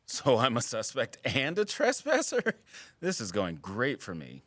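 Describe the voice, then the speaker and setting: Guarded wildlife biologist, dry teasing wit, expressive delivery, close dry sound, naturalistic audio drama. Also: male voice, medium pitch, measured pace, no echo, full character voice. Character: wry, guarded wildlife biologist, earnest about protecting habitat.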